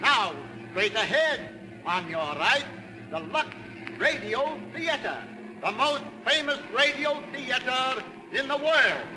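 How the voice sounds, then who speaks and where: A man's voice: very high voice, lively intonation, slow pace, music in the background, heavily acted. A man playing a sightseeing bus conductor calling out the sights in a 1930s radio advertisement. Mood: brisk announcing. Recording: noisy, reverberant 1930s radio recording.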